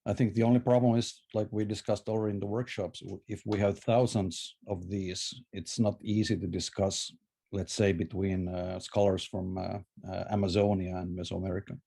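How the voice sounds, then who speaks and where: He is a man, his voice low and warm. He talks in a thoughtful, scholarly way over a video call, circling back to restart words as he explains their roots. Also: flat delivery, moderate pace, gravelly, breathy edge. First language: Finnish. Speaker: presenter answering questions. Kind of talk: academic discussion.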